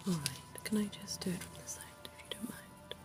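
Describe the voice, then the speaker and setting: A woman voicing a centuries-old, genteel female vampire dentist in an ASMR roleplay, speaking in a soft, posh, coaxing whisper. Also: medium pitch, expressive, brisk, music in the background, close to an everyday voice. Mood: soothing.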